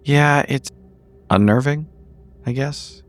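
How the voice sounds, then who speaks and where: A man's voice: mid-range voice, slow. A man playing a tabletop roleplaying game, talking in character in a dry, understated, hesitant way.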